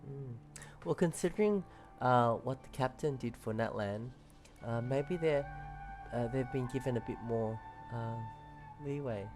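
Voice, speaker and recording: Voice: medium pitch, a little slow, over music. A game streamer giving casual live reactions, musing in an easygoing, hesitant way.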